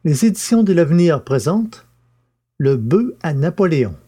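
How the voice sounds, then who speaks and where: A man's voice: on the high side, very animated, normal speed, dark tone. A man reading scripted audiobook narration as the presenter and narrator.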